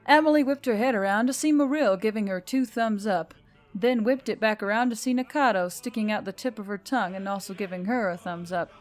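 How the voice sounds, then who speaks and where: Woman doing dramatic audiobook style narration, mid pitched voice, scripted fiction. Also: fairly quick, soft background music. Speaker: story narrator.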